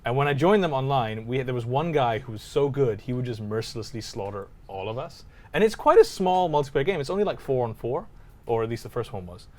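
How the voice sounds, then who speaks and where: A man's voice: mid-range voice, expressive, a little fast, a little mellow. A man co-hosting a gaming podcast, chatting casually with a teasing, bantering manner. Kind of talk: casual chat about video games.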